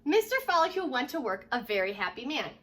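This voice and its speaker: A woman's voice: on the high side, fast talker. A woman reading a children's storybook aloud as a teacher would, expressively, leaning on key words. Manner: expressive, stresses repeated words, asks listeners questions.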